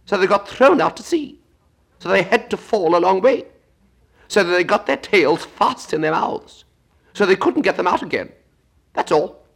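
A man voicing a pompous, impatient male Gryphon creature in a storybook reading, talking loudly and solemnly. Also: sits high, expressive, normal speed, creaky, pauses often, breathy edge, voice pushed up, full character voice.

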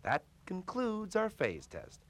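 A man playing a pompous know-it-all loudspeaker. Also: sits high, huge pitch swings, slow pace, crowd noise, full character voice. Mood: lecturing. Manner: pompous, lecturing, easily irritated. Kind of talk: comedy sketch on a test record.

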